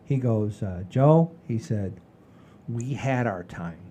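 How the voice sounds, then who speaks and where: A man in his sixties with a soft-edged, mellow voice at a middling pitch hosts a comedy podcast. He chats casually, like someone telling stories at home, leaning on repetition for emphasis and cursing without fuss. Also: expressive, slow, slight background noise. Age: 60s. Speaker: podcast host. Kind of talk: casual comedic storytelling chat.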